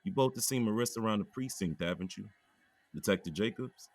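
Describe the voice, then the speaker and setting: An actor playing a grieving police chief who speaks calmly to friends. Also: male voice, lowish voice, brisk, lightly acted. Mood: steady. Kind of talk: audio drama acting.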